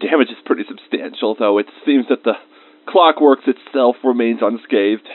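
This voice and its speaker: A thin, band-limited and very dry recording carries a man acting as an anxious male research scientist. He has a mid-pitched voice and dictates his lab log confidingly, as in a dramatized audio diary. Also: moderate pace, dry recording, phone-quality sound, natural voice. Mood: weary, subdued. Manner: confiding, dictated log entries with emotional asides.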